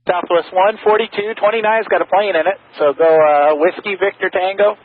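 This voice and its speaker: A man's voice: high voice, steady tone, normal speed, narrow, tinny audio. A man working as a ground controller, speaking in clipped, informal exchanges over aviation radio. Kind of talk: air traffic radio exchanges. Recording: phone-thin radio with faint static.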